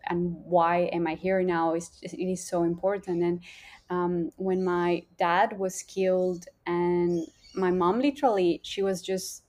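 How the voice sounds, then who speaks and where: A woman's voice: lowish voice, flat delivery, measured pace, darkish tone. A woman in her mid-thirties from Colombia, with a Colombian accent, telling her personal story in a podcast conversation, reflective and searching for words. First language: Spanish. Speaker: podcast guest, holistic coach.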